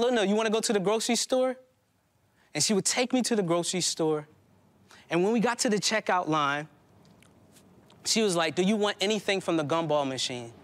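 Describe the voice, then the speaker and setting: A man with a fairly high voice performs a personal monologue to a room. He talks conversationally, pausing and restarting as a storyteller would. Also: fairly bright tone. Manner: conversational, halting, prone to chuckling. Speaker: poet and storyteller on stage. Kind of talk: live autobiographical monologue. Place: indoor community hall stage before a small audience.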